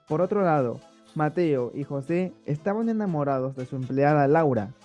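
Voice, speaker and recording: A man's voice: on the high side, mellow, lo-fi sound. A man narrating a scripted story summary.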